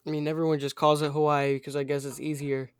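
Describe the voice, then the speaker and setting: A young male podcast host interviewing a guest, hesitant and filling pauses as he thinks. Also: a little high, little pitch movement, clear, resonant tone, no room sound. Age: young, teen or younger. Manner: hesitant, many fillers and restarts.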